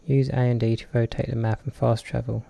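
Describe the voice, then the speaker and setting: A man commentates a let's play with a cold. He jokes and chats as he reads game text aloud. His voice is dark and gravelly with a lot of fry. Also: medium pitch, level tone, a little fast. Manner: jokey, chatty, reads on-screen text aloud. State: has a cold. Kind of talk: casual gameplay commentary.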